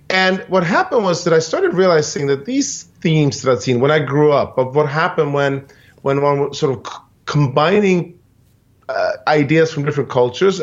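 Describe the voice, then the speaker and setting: A man from Sweden, a guest on a podcast, explaining his background in a thoughtful, halting way. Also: on the high side, dull audio. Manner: thoughtful, many fillers and restarts. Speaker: guest, innovation consultant. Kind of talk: conversational interview answer.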